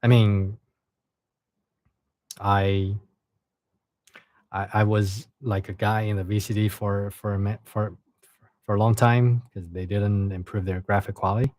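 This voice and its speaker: Halting man. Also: fairly low pitch, little pitch movement, darkish tone, no room sound. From China. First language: Chinese. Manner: halting, repeats words and restarts. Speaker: livestream host and reader. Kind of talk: casual livestream chat and reading a novel aloud.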